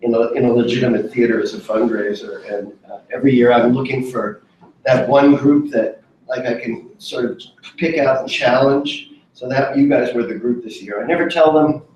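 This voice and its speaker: A man's voice: mid-range voice, steady tone, moderate pace, a little mellow, lo-fi sound, slight background noise. A man organizing an event, speaking encouragingly to a group of coordinators, often repeating words as he talks. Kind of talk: casual group meeting. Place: large rehearsal studio, indoors. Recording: echoey room, far camera mic.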